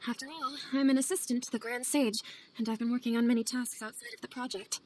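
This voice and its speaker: A woman acts out a weary female scholar burdened by guilt in a game cutscene. Her voice sits a little high and she speaks earnestly and hesitantly, as if confessing. Ambient noise is clearly heard behind her. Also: steady tone, quick pace, darkish tone, natural voice. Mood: cautious, assuring. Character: guilt-ridden female scholar, weary, anxious assistant to sage. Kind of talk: dramatic video game dialogue.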